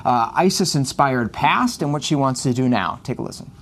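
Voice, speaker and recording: A man's voice: mid-range voice, lively intonation, moderate pace, fairly bright tone. A man anchoring a live news broadcast, chatting with a co-anchor and using frequent "uh" fillers. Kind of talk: live news desk conversation.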